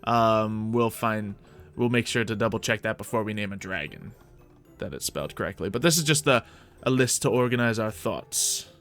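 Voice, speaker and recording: A man's voice: lowish voice, normal speed, a bit bright. A man hosting a gaming series, thinking aloud in light roleplay with mock-archaic, formal fantasy phrasing. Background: music.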